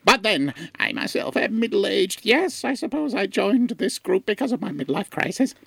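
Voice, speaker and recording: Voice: medium pitch, very animated, moderate pace, gravelly, slightly rough, no echo, exaggerated voice. A performer voicing an ancient, self-important cosmic being in a comedic audio drama, musing grandly and gloomily. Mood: grandiose, brooding. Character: Alpha Omega, ancient grandiose cosmic super-pet, melancholy, self-important.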